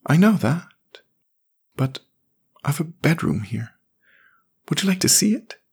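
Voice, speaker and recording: A lonely, defensive young boy speaks in a high, childlike voice, as a man reading an audiobook puts him on. He sounds sulky and pleading, and the voice swoops up and down. He talks slowly, with a husky edge. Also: sing-song, darkish tone, full character voice. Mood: wistful. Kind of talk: audiobook character voice.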